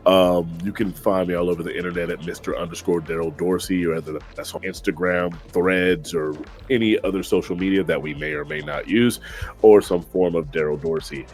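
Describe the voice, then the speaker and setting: Man, very deep voice, gravelly, playful, upbeat, faint music behind. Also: brisk, darkish tone. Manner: playful, upbeat self-promotion. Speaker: player, voice actor. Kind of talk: casual stream outro.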